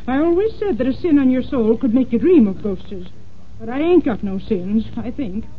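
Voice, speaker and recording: An actress playing a superstitious, stubborn older housekeeper with folksy, rustic dialect in an old-time radio drama. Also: female voice, on the high side, expressive, normal speed, natural voice. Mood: spooked, insistent. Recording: tinny, noisy old radio recording.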